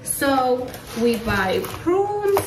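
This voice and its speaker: A woman in her thirties chatting casually through a grocery haul vlog, relaxed with laughing asides, filmed on a phone in her home kitchen. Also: high-pitched, drawn-out words, distant mic, noisy background. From California. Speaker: family vlogger. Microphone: phone camera at arm's length. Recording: echoey kitchen, voice a bit off-mic.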